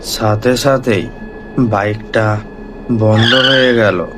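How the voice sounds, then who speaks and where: A man's voice: on the low side, fairly even intonation, slow, over loud music. A man narrating a scripted village ghost story as a horror storyteller.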